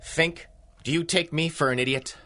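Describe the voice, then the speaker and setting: An actor playing an arrogant, scornful criminal mastermind in a 1930s-style radio serial, with pompous, wordy, theatrical delivery. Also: male voice, fairly high pitch, fairly even intonation, normal speed, pushed and bright, faint music behind. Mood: scornful, accusing.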